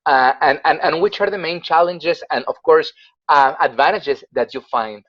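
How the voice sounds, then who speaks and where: Chatty interview host, dull call audio. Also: male voice, fairly high pitch, moderate pace. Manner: friendly, chatty, laughs often, stumbles over phrasing. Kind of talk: casual remote interview.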